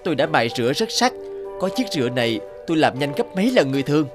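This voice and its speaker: A man voicing a hardworking, determined young peasant farmer in a cartoon, earnest and expressive. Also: fairly high pitch, rapid-fire, music in the background. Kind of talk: cartoon dialogue acting.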